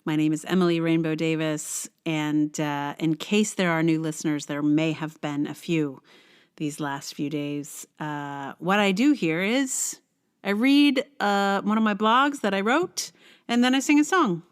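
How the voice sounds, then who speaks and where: A middle-aged woman hosting a personal podcast, speaking in an expressive, conversational way with emphasis on key words. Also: low-pitched, measured pace, smooth. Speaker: podcast host and writer. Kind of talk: personal podcast, chatting and reading own blog aloud.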